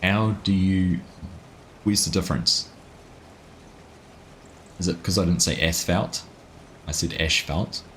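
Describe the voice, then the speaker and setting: Kiwi male streamer, very low voice, laid-back chatter over faint noise. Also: takes it slowly, pauses often, fairly bright tone, low-quality recording. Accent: New Zealand. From New Zealand. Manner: chatty, laughs at himself, reads chat aloud. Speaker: livestream gaming host. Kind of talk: casual live gameplay chat.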